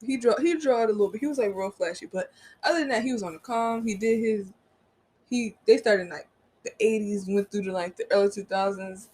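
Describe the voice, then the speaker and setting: A woman's voice: medium pitch, a little fast, darkish tone, husky. A young woman co-hosting a casual podcast, blunt and skeptical, talking in heavy slang. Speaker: podcast co-host. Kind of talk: casual chat.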